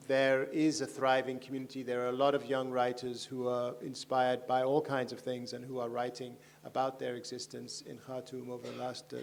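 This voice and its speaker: A man speaking on a festival panel, thoughtful and halting, with many restarts. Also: medium pitch, creaky, slight background noise. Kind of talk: panel discussion. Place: large festival stage.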